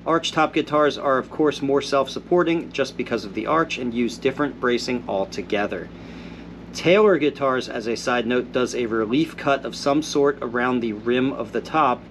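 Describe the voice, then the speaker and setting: A man's voice: mid-range voice, slight background noise. A man hosting a viewer Q&A, still a little congested after being ill, reading out comments and answering them in an easygoing, thoughtful way. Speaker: host answering viewer questions.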